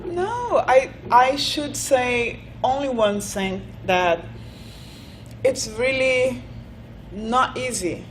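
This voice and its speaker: A woman from Brazil with a Brazilian Portuguese accent, a guest business owner who speaks hesitantly and softly. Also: on the high side, animated, extremely slow, a little hiss or hum, rough recording. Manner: hesitant, soft-spoken, laughs shyly.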